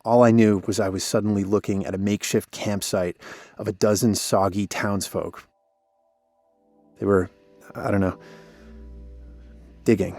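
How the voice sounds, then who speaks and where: An actor playing a guilt-ridden, defensive former park warden in an audio drama, telling things in a weary, halting way. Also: male voice, lowish voice, little pitch movement, darkish tone, no echo, faint music behind, close to an everyday voice. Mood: somber, haunted. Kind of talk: cinematic audio drama acting, naturalistic.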